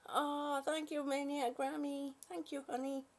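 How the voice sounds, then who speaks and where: A British woman hosting a casual live chat from her bed, greeting viewers warmly as honey and sweetie and sometimes dropping to a soft whisper. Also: high voice, flat delivery. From British, born in Singapore. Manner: slow, soft, trailing off into whispers. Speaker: livestream host chatting with viewers. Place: at home in bed.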